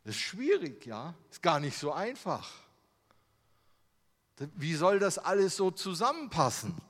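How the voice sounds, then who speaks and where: A man's voice: high-pitched, wildly swooping pitch, a little slow, a bit bright. A German man giving a sermon with slides, in a conversational way, full of rhetorical questions. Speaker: preacher. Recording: long hall reverb.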